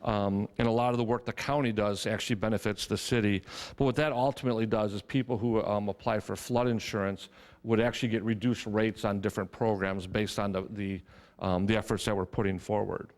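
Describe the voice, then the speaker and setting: A man who works as a city public works official, explaining his department's budget in a steady way with many fillers at a council meeting. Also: fairly low pitch, a little fast. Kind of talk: presenting a budget. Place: council chamber.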